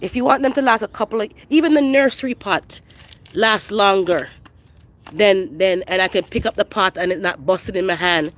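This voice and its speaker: A gardening livestream host with a congested, nasal voice from a head cold, chatting in a relaxed, rambling way with viewers while outdoors in a backyard garden, recorded on a handheld phone. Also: medium pitch, moderate pace. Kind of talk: casual live chat with viewers.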